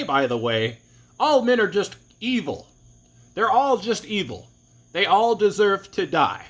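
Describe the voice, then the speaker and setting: Man, vlog monologue, animated intonation, rambling and opinionated. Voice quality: deep voice. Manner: opinionated, rambling, with repeated restarts. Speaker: vlogger. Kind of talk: casual monologue to camera.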